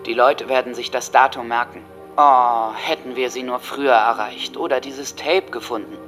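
A male actor plays a teenage gunman in a radio drama, full of hatred and grandiosity. His mid-range male voice seethes with intensity. Music is clearly heard behind him. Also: mid-range voice, steady tone, normal speed, natural voice. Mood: smug. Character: teenage male school shooter, hateful, impatient, megalomaniac. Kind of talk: documentary radio drama acting.

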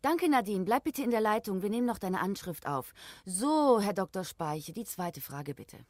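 A woman's voice: mid-range voice, expressive, moderate pace, a little mellow, no room sound, clean studio sound, lightly acted. A woman playing a friendly, orderly quiz host in a children's radio play, polite and upbeat as she addresses young listeners. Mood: friendly, brisk.

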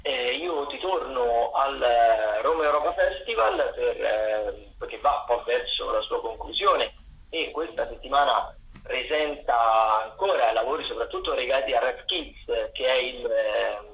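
A man giving spoken listings of theatre and dance events as a radio correspondent, haltingly, searching for words. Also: mid-range voice, expressive, vocal fry, narrow, tinny audio. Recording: roomy echo, faint background noise.

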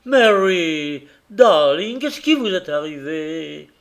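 A man's voice: on the high side, lively intonation, a little slow, voice pushed up. A man doing a blunt, hearty carrier. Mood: alarmed. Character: honest, hearty working-class husband, a carrier.

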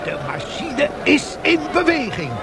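An actor playing a gloating schemer in a radio drama, with loud crowd noise around him. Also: male voice, extremely high pitch, huge pitch swings, unhurried, exaggerated voice.

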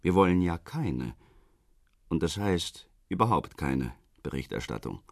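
A government official in a radio crime drama. His very low voice rises and dips expressively, close and dry. He is measured and polite, with a veiled threat in his phrasing. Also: very animated, gravelly, dry recording, full character voice. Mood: cool bargaining. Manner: measured, insinuating politeness with rhetorical questions.

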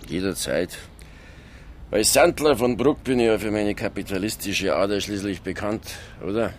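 An actor playing a sardonic, playful small-town vagrant in a radio drama, with mock-pompous Bavarian speech. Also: male voice, on the low side, moderate pace, slight background noise, close to an everyday voice. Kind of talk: naturalistic radio drama dialogue. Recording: music behind the voice.

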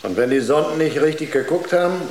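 An actor playing a dry, reserved ship's psychologist in a German radio drama, speaking tersely. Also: male voice, a little high, expressive, fairly quick, a little mellow, slight background noise. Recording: roomy radio drama sound.

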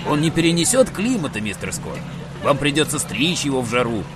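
An actor playing a plain-spoken dog-team driver, blunt and folksy, in an audio drama. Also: male voice, medium pitch, sing-song, fairly quick, close to an everyday voice. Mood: concerned. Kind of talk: Russian audio drama acting. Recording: clear outdoor and vehicle noise behind.

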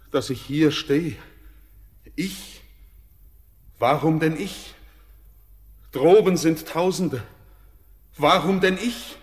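Slow, anguished young sailor. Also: male voice, on the high side, slightly rough. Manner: solemn, theatrical, impassioned declamation. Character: anguished young orphaned sailor, awed and fearful. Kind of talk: classic German radio verse drama, declaimed.

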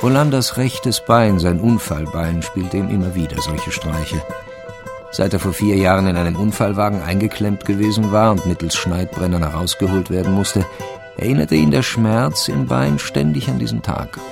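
A man narrating a crime radio play in a measured, wry, literary manner. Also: sits low, creaky. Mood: grave, suspenseful. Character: dry, omniscient male narrator of a village crime story. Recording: music behind the voice. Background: instrumental music.

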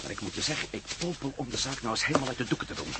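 An actor playing a gruff, bold adventurer in a Dutch radio play, wry and commanding. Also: male voice, mid-range voice, expressive, rapid-fire, heavy background noise, natural voice. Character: gruff, bold male adventurer in a sci-fi mystery.

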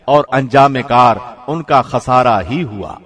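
A man reading a scripture translation from a script, with measured, formal diction like a radio presenter. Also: medium pitch, fairly bright tone. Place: studio.

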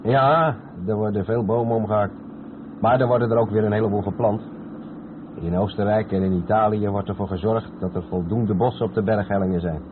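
Actor as low-voiced veteran trucker, tinny radio recording. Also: male voice, fairly even intonation, brisk, natural voice. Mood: patient, instructive. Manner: didactic, explains things step by step. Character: experienced, patient long-haul truck driver showing the ropes. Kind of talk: old radio play dialogue, explanatory. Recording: tinny, band-limited old radio recording with noise.

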